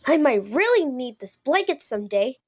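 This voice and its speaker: A woman's voice: high voice, very animated, normal speed, no echo, clean studio sound. A woman voicing an anxious, clingy young male baby frog in a storybook, fretful and earnest.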